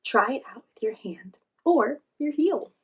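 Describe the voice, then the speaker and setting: A woman voicing a calm, caring female friend in a children's storybook, guiding gently. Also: a little high, slightly rough, muffled recording, pitched above the performer's own voice, heavily acted. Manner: gentle, slow guiding delivery.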